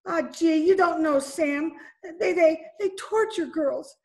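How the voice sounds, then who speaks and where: A jittery, streetwise gangster's girlfriend, played by a woman in a radio crime play. She speaks high, in slangy, pleading lines, recorded from home over a video call. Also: slow pace, darkish tone, exaggerated voice. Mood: frightened. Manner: breathless pleading, slangy "gee" and "ya". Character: frightened young gangster's moll, pleading and streetwise. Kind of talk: old-time radio play acting.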